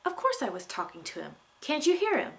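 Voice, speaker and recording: A woman's voice: sits high, wildly swooping pitch, fairly quick, creaky, a bit bright, pitched above the performer's own voice, exaggerated voice. A woman reading the part of a fiery, stubborn young tribeswoman in a storybook, curt and insistent. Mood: indignant.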